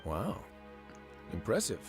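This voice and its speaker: A man's voice: fairly low pitch, wildly swooping pitch, slow pace, over music, full character voice. An actor playing a wry, teasing man who runs a fortress prison in a video game, speaking in a dry conversational way.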